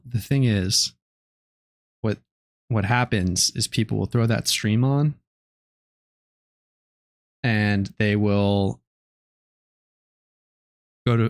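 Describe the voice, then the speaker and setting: Young male streamer, low gravelly voice, dry close mic, laid-back late-night chatter. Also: dry recording. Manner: low-key, relaxed, rambling with many fillers. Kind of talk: casual live-stream chat while gaming.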